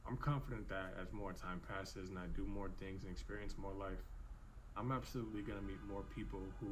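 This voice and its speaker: Soft-spoken man in his twenties. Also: on the low side, low-quality recording. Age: 20s. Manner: halting, restarts with fillers like "like" and "um". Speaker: vlogger in clip. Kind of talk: reflective casual monologue. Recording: faint background noise.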